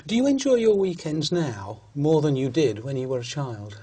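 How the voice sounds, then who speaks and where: A man reading out scripted questions as a language test examiner, formal and measured. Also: fairly high pitch, very animated, dark tone, slightly rough.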